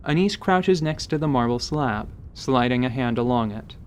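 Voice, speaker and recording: A man's voice: medium pitch, expressive, normal speed, a little hiss or hum. A man giving scripted, present-tense narration for a fantasy audio drama.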